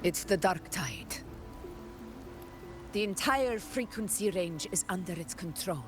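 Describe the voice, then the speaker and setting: A serious game strategist lady, low-ish and slow, composed. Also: expressive, over music. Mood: grim. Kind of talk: video game voice acting.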